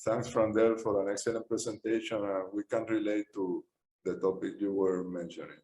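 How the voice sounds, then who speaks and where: A man's voice: fairly low pitch, fairly even intonation, moderate pace, dark tone. A middle-aged man moderating a panel, giving polite, brief introductions. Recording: roomy echo, faint background noise.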